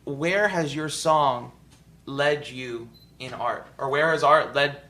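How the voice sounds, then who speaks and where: A man's voice: medium pitch, takes it slowly, bright, forceful tone. A man co-hosting a radio show, interviewing guests in an upbeat, encouraging way.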